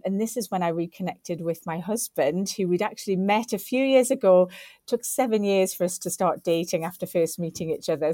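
A woman's voice: on the low side, mellow, dry recording. A woman in her forties from Northeast England, a podcast guest telling her career story in an effusive, upbeat way. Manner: effusive, upbeat, piles on superlatives. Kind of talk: casual interview storytelling.

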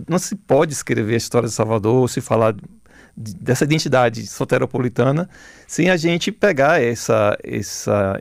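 A middle-aged man with a Bahian Brazilian accent, talking reflectively as an interview guest, haltingly, repeating words as he searches for phrasing. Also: medium pitch, creaky, a little mellow, no echo, studio quality.

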